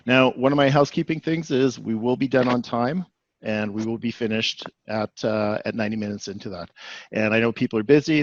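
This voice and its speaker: A man's voice: lowish voice, no echo, muffled recording. A man from Canada with a Canadian accent giving a webinar presentation, rambling and restarting with lots of fillers.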